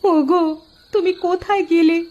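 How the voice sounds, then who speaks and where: A woman's voice: very high-pitched, fairly even intonation, normal speed, a little mellow. A woman voicing a scolding, melodramatic village wife in a cartoon, exclaiming emphatically, in a dull lo-fi recording. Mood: wailing, pleading. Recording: dull lo-fi audio with faint noise.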